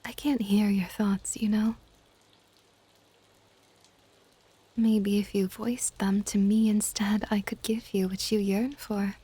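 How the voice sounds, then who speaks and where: A woman playing a possessive, affectionate dragon goddess in an ASMR audio roleplay, with a soft, whispery, teasing and intimate delivery. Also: little pitch movement. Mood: impatient, musing. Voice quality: soft, breathy whispering mixed with low-volume speech. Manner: doting, teasing, unhurried.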